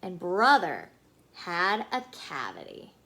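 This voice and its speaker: A woman reading a children's story aloud in an expressive, animated way, pausing for emphasis. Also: fairly low pitch, creaky, low-quality recording.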